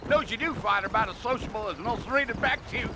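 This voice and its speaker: High-voiced, folksy Old West guide played by an actor. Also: male voice, quick pace, noisy background, lightly acted. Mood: friendly, chatty. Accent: American Southern and Western drawl. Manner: talkative, rambling, folksy. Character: chatty, folksy Old West ranch hand guide, man. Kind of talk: video game cutscene acting.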